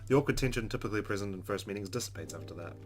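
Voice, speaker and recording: Low-voiced man reading out a game. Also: rapid-fire. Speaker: let's play host. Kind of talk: reading game narration aloud with casual commentary. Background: faint game music.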